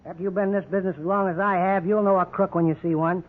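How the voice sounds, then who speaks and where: A man's voice: high voice, fairly even intonation, racing, close to an everyday voice. An actor playing a cocksure, bullying veteran policeman in a 1950s radio drama, with a sarcastic, domineering manner. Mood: irritated, stubborn. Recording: tinny, noisy old radio recording.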